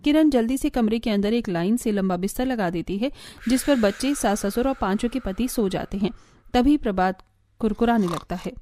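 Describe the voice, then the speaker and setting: A woman's voice: a little high, moderate pace, mellow. A woman reading scripted story narration for a family cartoon.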